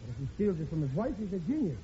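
A man's voice: a little high, big pitch swings, rapid-fire. A middle-aged actor playing a blustering, cheapskate working-class husband and father in a 1940s radio comedy, cocky and ungrammatical. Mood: scheming. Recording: muffled, noisy old radio broadcast.

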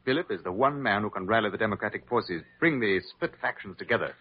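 A man's voice: lowish voice, lively intonation, fast, husky. An actor playing a grave, formal confidant of an exiled king in an old-time radio drama. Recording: dull lo-fi vintage radio recording.

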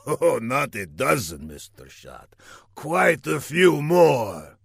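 A man's voice: mid-range voice, very animated, slow, vocal fry, dry recording, clean studio sound, heavily acted. A man voicing a megalomaniac villain in a comic audiobook, emphatic and theatrical, swinging from gleeful to exasperated. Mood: menacing. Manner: theatrical, emphatic, exclaiming grandly.

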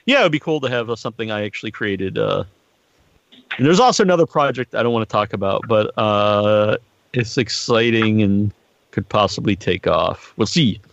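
A middle-aged man hosting a podcast in casual, joking chat, talking in a rambling, halting way with crude humour. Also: on the low side, lively intonation, creaky, no echo. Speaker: podcast host. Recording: muffled lo-fi online stream audio.

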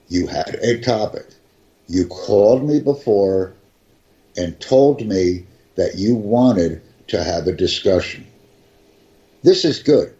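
A man teaching English conversation, speaking slowly and deliberately and repeating his key points. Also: lowish voice, mellow, dull audio. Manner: slow, carefully enunciated, repeats key phrases. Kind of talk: slow, deliberate teaching talk.

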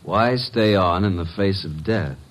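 An actor playing a breezy, wisecracking detective's assistant in vintage radio drama style. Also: male voice, sits low, measured pace. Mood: curious, brisk. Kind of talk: 1940s-50s American radio detective acting.

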